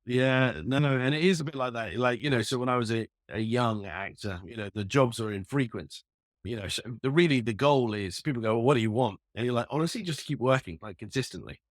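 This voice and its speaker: Male actor guest from Ireland, raised in London; relaxed, halting chat, dry mic. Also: mid-range voice, no room sound. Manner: easygoing, hesitant with restarts. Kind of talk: casual interview chat.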